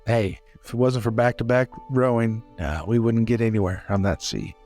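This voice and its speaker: A man's voice: mid-range voice, normal speed, darkish tone, natural voice. A man playing a respectful, world-weary navy sailor in a casual, hesitant way for a tabletop game. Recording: faint music behind.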